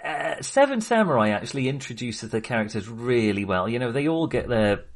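A man co-hosting a podcast in a casual film discussion, speaking in a halting, wry, jokey manner. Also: medium pitch, sing-song. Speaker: podcast co-host.

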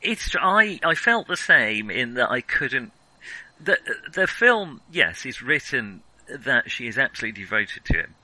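A man co-hosting a film podcast in casual conversation. His voice is very bright and sharp at an average pitch. He talks haltingly, working out his opinions as he goes. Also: very animated, no echo, rough recording. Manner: hesitant, halting, thinking aloud.

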